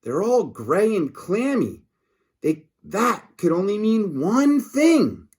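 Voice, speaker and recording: An excitable schoolboy from a comic storybook, acted out by a man, bossy and urgent. His pitch lifts and swings as he exclaims and conspires. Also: slow pace, husky, heavily acted. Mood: alarmed, amazed.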